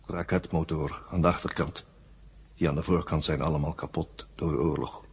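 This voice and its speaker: A man playing an ancient, worn-out war machine brain in a 1970s radio drama, weary and forgetful, in flat, halting, repetitive statements. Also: a bass voice, a little hiss or hum. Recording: muffled old radio drama recording.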